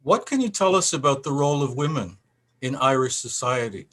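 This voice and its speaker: A man's voice: medium pitch, lively intonation, brisk, a bit bright. A man acting as interviewer, giving prepared introductions and questions in a formal, courteous manner. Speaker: interviewer, festival president.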